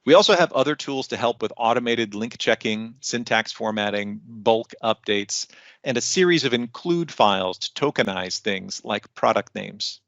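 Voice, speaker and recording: Gravelly man giving a tech presentation. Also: medium pitch, animated, moderate pace, a bit bright, dry recording, lo-fi sound. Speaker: technical content developer presenting. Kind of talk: online conference presentation, explanatory.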